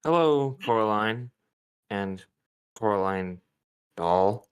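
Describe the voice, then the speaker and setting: A man's voice: on the low side, expressive, takes it slowly, clear, resonant tone. A man playing an absent-minded, exasperated father of forty in an amateur screenplay table read. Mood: distracted. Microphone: video call.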